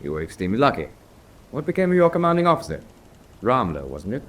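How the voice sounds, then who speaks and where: An actor playing a senior officer in a radio drama, dry and authoritative, with a clipped, formal manner of briefing. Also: male voice, mid-range voice, wildly swooping pitch, fast talker, fairly bright tone, a little hiss or hum. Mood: dry, probing. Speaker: senior prisoner-of-war officer.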